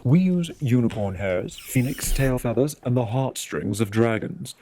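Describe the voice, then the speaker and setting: A man voicing an eccentric, eerie old wandmaker, soft-spoken and musing, in a theatrical storybook reading. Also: medium pitch, a little hiss or hum, natural voice. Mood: brisk, eager. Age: older. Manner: musing, theatrical, drawn-out phrasing with sudden eager bursts. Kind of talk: dramatic audiobook reading with character voices.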